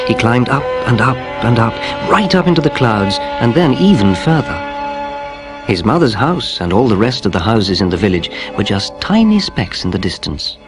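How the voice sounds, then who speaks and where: A man reading a children's story in an expressive narrating style. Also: on the low side. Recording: music playing underneath.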